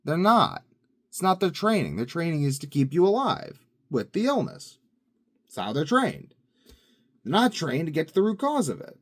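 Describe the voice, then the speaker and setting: A man's voice: a little high, sing-song, moderate pace. A young man in a casual daily video diary, rambling and upbeat with lots of fillers and restarts. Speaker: vlogger.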